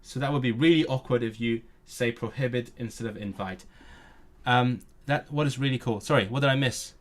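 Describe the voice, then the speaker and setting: A man's voice: medium pitch, fairly quick. A man whose first language is Cantonese, chatting casually to viewers on a live stream, nerdy and eager, often tripping over words and starting them again.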